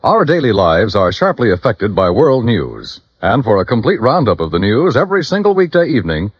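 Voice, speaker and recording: A man's voice: fairly low pitch, no echo. Male 1950s radio announcer, swooping mid-range delivery of scripted copy, dry old broadcast sound. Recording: muffled vintage radio recording, dry.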